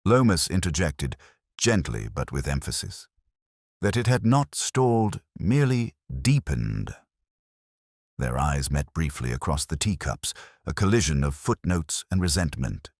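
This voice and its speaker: Very deep man narrating a mystery. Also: sing-song, a little mellow, no room sound, clean studio sound. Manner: measured, literary, formal. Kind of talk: scripted first-person mystery narration.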